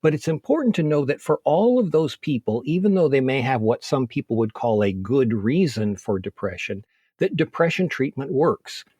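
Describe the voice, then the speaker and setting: A man speaking as a physician in an interview, explaining things methodically in measured, orderly points. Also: medium pitch, sing-song, mellow, breathy edge, dry recording. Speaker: physician, expert interview guest.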